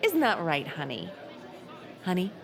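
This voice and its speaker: An actress playing a two-faced president's daughter in an audio comedy, gushing with false sweetness. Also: female voice, lowish voice, wildly swooping pitch, measured pace, vocal fry, crowd noise, exaggerated voice. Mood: sweetly calculating.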